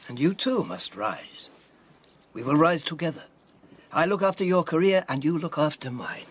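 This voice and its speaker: An actor playing a shrewd, worldly spy handler in a radio drama, with a husky, breathy voice and a measured, theatrical, commanding manner. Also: male voice, a little high, animated, slight background noise, natural voice. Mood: intimate, persuasive.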